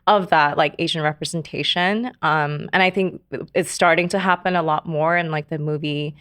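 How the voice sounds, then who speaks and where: A woman in her thirties, from South Korea, telling her life story in a casual interview, speaking softly with many fillers, pauses and laughs. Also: low voice, steady tone, no room sound, studio quality. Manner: soft-spoken, many fillers and trailing pauses, laughs often. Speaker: podcast guest.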